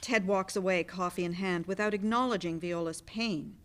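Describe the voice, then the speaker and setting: A woman's voice: on the low side, normal speed, fairly bright tone. A woman narrating scripted stage directions for a play reading.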